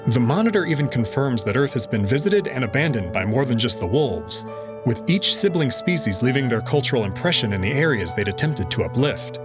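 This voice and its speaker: A male narrator with a mid-pitched, very animated voice reads and comments on a visual novel. His delivery is expressive, like storytelling. Music plays clearly behind him. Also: a little fast. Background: music under the voice.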